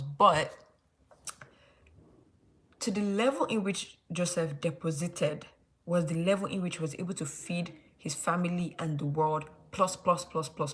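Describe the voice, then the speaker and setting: A woman's voice: low-pitched, slight background noise. A young woman with a Nigerian accent hosting a casual late-night Bible study livestream, rambling and often restarting her sentences with "like". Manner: rambling, restarts, heavy use of "like".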